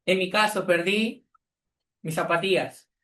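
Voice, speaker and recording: A pastor delivers an exhorting sermon. His delivery is slow and drawn out, with long deliberate pauses. His voice rings through an echoey church hall. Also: sits high, steady tone, pushed and bright. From Peru.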